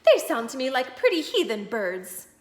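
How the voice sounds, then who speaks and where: A woman's voice: sits high, wildly swooping pitch, normal speed, voice pushed up. A woman reading aloud, voicing a thrifty, worried housewife who scolds sharply. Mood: fretful. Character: fussy thrifty housewife, middle-aged.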